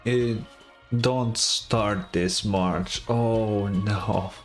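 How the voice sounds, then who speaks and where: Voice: sits low, fairly even intonation, drawn-out words. A streamer giving casual, slangy reaction commentary on a game, in a laid-back manner. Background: game music.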